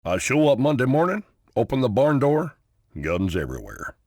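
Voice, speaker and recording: A man's voice: medium pitch, wildly swooping pitch, normal speed, a little mellow, dry recording, clean studio sound. A man voicing a ranch guard who gossips in a chatty, storytelling way for a video game. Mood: gossipy. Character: gabby male ranch guard.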